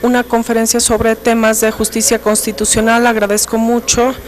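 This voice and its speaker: An interpreter rendering an academic lecture in measured, short phrases. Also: mid-range voice, monotone, a crowd in the background.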